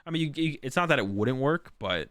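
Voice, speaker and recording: A man's voice: medium pitch, expressive, quick pace, fairly bright tone, dry recording, clean studio sound. A man who is sick and losing his voice, rambling through casual stream commentary with lots of fillers. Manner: rambling, filler-heavy, thinks aloud.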